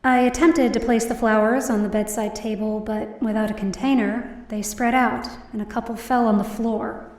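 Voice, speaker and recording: A woman's voice: a little high, fairly even intonation, moderate pace, few pauses, a little mellow, lightly acted. A woman playing a weary, worried daughter, narrating her own story in an audio drama in a subdued, reflective way. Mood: reflective, sad. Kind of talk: first-person scripted narration in audio drama. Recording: roomy, distant mic, faint music behind.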